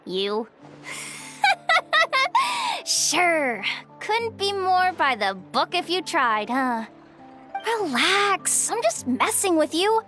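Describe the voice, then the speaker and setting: A woman's voice: very high voice, very animated, drawn-out words, long pauses, music in the background, heavily acted. An actress playing a breezy, self-assured, streetwise young woman in a video game, talking in a casual, teasing, slangy way. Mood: teasing, laid-back. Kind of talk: video game character acting.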